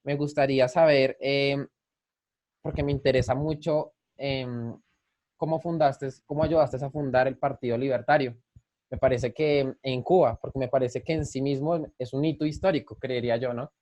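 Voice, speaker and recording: A man's voice: medium pitch, steady tone, moderate pace, darkish tone. A man hosting an interview in a conversational way, with polite, hesitant phrasing. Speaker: channel host and interviewer.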